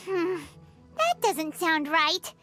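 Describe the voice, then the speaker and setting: A woman voicing a tiny, chatty, cheeky pixie companion with a squeaky childlike voice in a video game. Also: very animated, slow pace, loud music behind the voice, full character voice. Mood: suspicious, indignant. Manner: refers to self in third person, perky.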